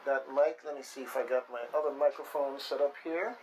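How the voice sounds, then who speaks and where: A man with a Caribbean accent from the Virgin Islands hosts casual live radio, talking playfully and haltingly and repeating his phrases. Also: mid-range voice, steady tone, a little mellow, a little hiss or hum, rough recording. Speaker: radio show host. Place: radio studio with shared microphones.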